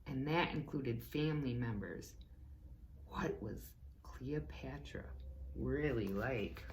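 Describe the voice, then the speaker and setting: A woman reading a book aloud to children as a teacher, slow and deliberate, with playful asides to her listeners. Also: extremely low pitch, darkish tone, slight background noise. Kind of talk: read-aloud book lesson.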